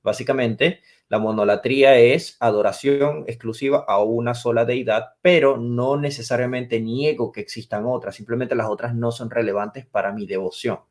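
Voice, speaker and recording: A man's voice: medium pitch, steady tone, moderate pace, few pauses. A man in his twenties from Venezuela, a theology teacher explaining terms step by step as a podcast guest. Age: 20s. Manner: lecturing, defines terms step by step. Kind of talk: explanatory interview answers.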